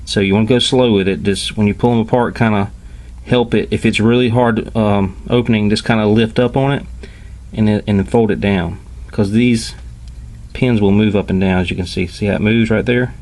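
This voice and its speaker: A man giving a casual, informal hands-on toy review, explaining step by step with hesitant pauses. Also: on the low side, fairly even intonation, darkish tone. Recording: clearly noisy home recording.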